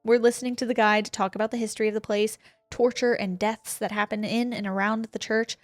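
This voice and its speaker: A woman's voice: on the high side, level tone, a little fast, no room sound, studio quality, faint music behind. Woman reading a spooky letter aloud. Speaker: podcast co-host. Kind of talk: reading a listener's ghost story aloud.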